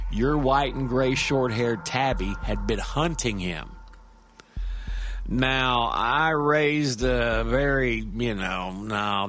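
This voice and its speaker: An actor playing a nervy, rambling street-wise man who tells a horror story in a halting, stammering, conversational way, with music behind him. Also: medium pitch, a bit bright. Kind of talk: audio drama first-person horror monologue.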